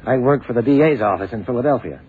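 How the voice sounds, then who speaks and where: Man playing young lawyer guy, evasive n defensive, lively up and down voice, thin old radio sound. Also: medium pitch, fast, narrow, tinny audio, close to an everyday voice. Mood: defensive, casual. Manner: hesitant, defensive, colloquial. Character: evasive, ambitious young American male lawyer. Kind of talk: radio drama acting. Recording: thin, noisy 1960s radio drama recording.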